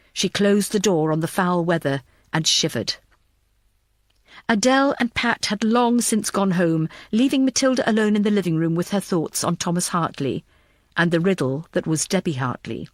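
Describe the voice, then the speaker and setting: A woman's voice: mid-range voice, dry recording, studio quality. A woman reading scripted thriller fiction as an audiobook narrator.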